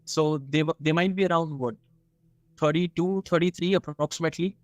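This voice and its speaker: A man with an Indian accent telling a story conversationally, in a halting way with frequent asides. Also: on the high side, no room sound.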